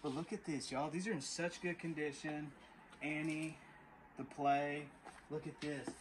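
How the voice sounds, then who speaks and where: A man's voice: on the high side, steady tone, a little slow, rough recording. A man, chatting casually to viewers while sorting through a storage unit. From Texas. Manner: chatty, talks to viewers as y'all. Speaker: storage unit reseller vlogger. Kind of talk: casual vlog narration. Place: outdoor storage unit, then inside a truck. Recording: faint background noise.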